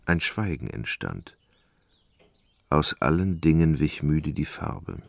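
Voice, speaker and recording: Very deep, creaky male narrator. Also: animated, slow pace, no echo. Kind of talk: scripted literary narration for a radio play. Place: dry studio.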